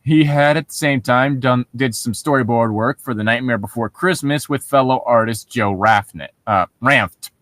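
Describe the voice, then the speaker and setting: A man co-hosting, reading internet horror stories aloud with casual commentary in a halting, self-correcting manner. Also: mid-range voice, a bit bright, no echo, studio quality.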